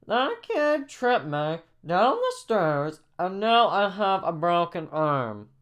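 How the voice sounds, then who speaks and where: A man voicing an upset, injured cartoon character who complains in a pained, drawn-out way. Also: high-pitched, big pitch swings, fairly bright tone, smooth, pitched above the performer's own voice, heavily acted. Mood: aggrieved.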